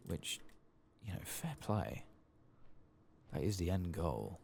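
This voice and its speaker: This man speaks very low, with plenty of gravelly fry. He keeps a soft, murmured ASMR delivery as a game streamer, casual and a bit sweary, yet his pitch wanders a good deal. Clear noise sits behind the voice. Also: very animated, slow. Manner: muttering, casual, mildly sweary. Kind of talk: soft-spoken and whispered ASMR gaming commentary. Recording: clearly audible background noise.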